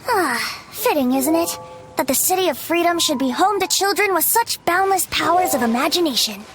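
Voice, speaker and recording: A woman's voice: very high-pitched, lively intonation, moderate pace, over music. A woman voicing a whimsical, theatrical young bard in a video game, with a playful, flowery, musing manner.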